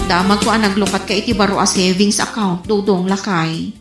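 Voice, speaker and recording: A woman's voice: mid-range voice, fairly even intonation, brisk, music in the background, close to an everyday voice. A woman playing a gullible, defensive wife who pleads in an Ilocano accent in a radio comedy drama. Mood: apologetic. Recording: roomy, echoing sound.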